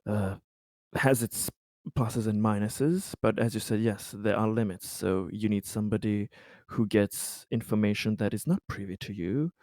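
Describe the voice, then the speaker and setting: A man playing a cautious official in a tabletop roleplaying game, speaking formally and hesitantly as he deliberates. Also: lowish voice, fairly even intonation, mellow, no room sound, clean studio sound, close to an everyday voice. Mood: deliberating. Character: cautious, deliberating male official negotiating with an agent. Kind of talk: improvised tabletop roleplay dialogue.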